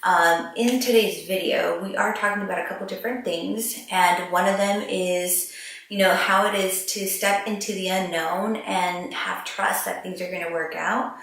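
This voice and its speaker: A woman's voice: on the low side, steady tone, moderate pace, creaky, a bit bright. A woman hosting a casual interview show, bubbly and gushing, repeating words for emphasis. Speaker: host. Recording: very echoey, distant from the mic.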